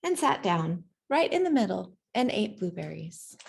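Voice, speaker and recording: A woman reading a children's picture book aloud in an expressive storytelling manner, acting out the sounds and dialogue. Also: medium pitch, normal speed, vocal fry, slightly rough. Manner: animated, acts out sounds and dialogue. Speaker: storybook reader.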